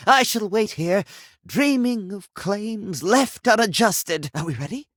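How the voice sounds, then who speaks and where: A man's voice: high voice, animated, unhurried, a bit bright, no room sound, clean studio sound, exaggerated voice. An actor playing a confused, sentimental sink who thinks he is human in a video game, with theatrical, flowery delivery. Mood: yearning, earnest. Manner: theatrical, flowery, swinging between formal and exclamatory. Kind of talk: video game character acting.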